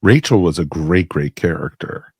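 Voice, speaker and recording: A man co-hosting a casual podcast review, relaxed and agreeable as he chimes in. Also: low voice, moderate pace, slightly rough, dry recording, clean studio sound. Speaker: podcast co-host.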